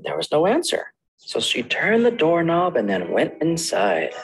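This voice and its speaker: A man working as a children's librarian, telling fairy tales in a gentle, sing-song story time voice and speaking straight to the children. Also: fairly high pitch, huge pitch swings, a little slow, gravelly, low-quality recording.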